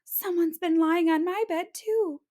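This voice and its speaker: A woman's voice: very high voice, measured pace, mellow, voice pushed up. A woman voicing a fussy mother bear who exclaims and wails dramatically. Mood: fretful.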